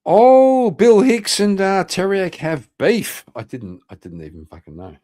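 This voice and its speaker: A man's voice: high-pitched, huge pitch swings, a little slow, mellow. A man hosting a casual livestream, swearing often and talking in a blunt, combative way. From Northern Ireland. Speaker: livestream host.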